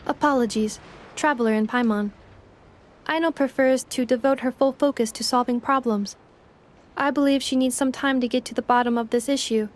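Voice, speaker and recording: Woman as a high-voiced formal robot assistant. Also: fairly even intonation, lightly acted. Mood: polite. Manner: precise, formal, measured. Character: polite, analytical female robot assistant, calm and formal. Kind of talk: video game voice acting.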